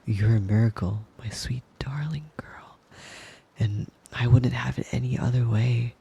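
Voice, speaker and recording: A man playing a devoted, possessive young wolf-boy lover in an intimate ASMR roleplay, tender and breathy, often slipping into a whisper. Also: mid-range voice, warm tone, dull audio, heavily acted. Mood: adoring, praising. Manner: tender, doting, slow and lingering with pet names. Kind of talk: intimate ASMR roleplay, soothing bedtime murmur.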